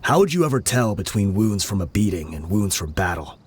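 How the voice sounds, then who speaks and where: A man voicing a vengeful male gang lieutenant in a video game, explaining events in a measured way. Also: on the low side, sing-song, breathy edge, heavily acted. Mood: grim.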